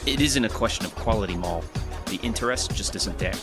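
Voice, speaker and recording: A man's voice: lowish voice, lively intonation, fairly quick, lightly acted. An actor playing a blunt, skeptical newspaperman in a 1920s period audio drama. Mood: dry, dismissive. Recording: music behind the voice.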